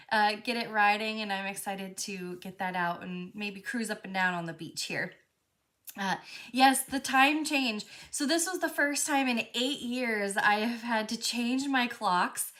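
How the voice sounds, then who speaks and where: A woman's voice: mid-range voice, pushed and bright. A woman hosting a live crafting stream, chatting casually with lots of fillers, a little stuffy from a cold. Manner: chatty, friendly, many fillers. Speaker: crafting show host.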